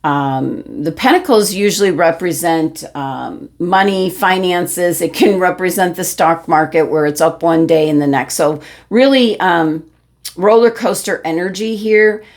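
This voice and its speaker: Hoarse woman tarot reader, lowish voice, rambling casual delivery with lots of "um". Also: measured pace. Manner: rambling, many fillers and restarts. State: losing her voice, throat clearing. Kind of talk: casual monthly tarot reading.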